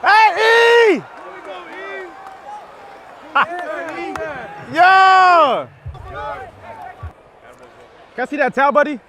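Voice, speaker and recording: A mic'd-up male football player calling out excitable, slangy sideline chatter in short repeated phrases. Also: very high voice, very slow, long pauses. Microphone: body-worn mic during play. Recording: noisy outdoor field with crowd.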